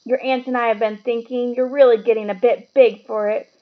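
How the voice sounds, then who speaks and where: A woman's voice: fairly high pitch, fairly even intonation, a little fast, muffled recording, natural voice. Woman acting a blustering, bad-tempered uncle. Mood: forced niceness. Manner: sneering, barking, snapping orders. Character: blustering, bad-tempered middle-aged uncle, gruff and panicky.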